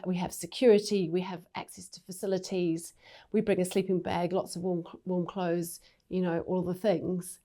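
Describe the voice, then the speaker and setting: A woman's voice: mid-range voice, a little mellow. A woman who runs a charity, explaining its work fluently and earnestly in a conversational interview. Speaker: charity CEO.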